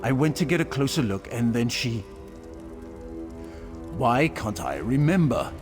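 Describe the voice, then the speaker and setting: A man voicing a dazed, confused video game character, halting and bewildered as he struggles to remember. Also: mid-range voice, sing-song, music in the background.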